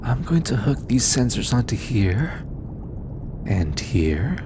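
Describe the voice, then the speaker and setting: A man playing a gentle, studious alien scientist in an intimate audio roleplay, soft-spoken and patient, hesitant when flustered. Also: mid-range voice, big pitch swings, slow pace, background noise. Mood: calm, focused. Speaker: voice actor. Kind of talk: intimate scripted audio roleplay, addressing the listener.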